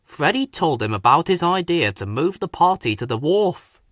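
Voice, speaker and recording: A man's voice: fairly high pitch, very animated, moderate pace, fairly bright tone, no room sound, clean studio sound. A man reading a scripted children's story as its narrator.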